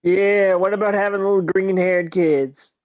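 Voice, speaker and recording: A man plays a coarse, gloating guy in a radio play. His voice is high for a man and sounds a little muffled on a lo-fi recording. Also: steady tone, unhurried, a little mellow. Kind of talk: amateur audio drama.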